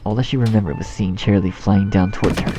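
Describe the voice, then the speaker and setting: A man's voice: on the low side, fairly even intonation, quick pace, dark tone. A man narrating a scripted fan fiction story as its storyteller. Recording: dull home recording with faint noise.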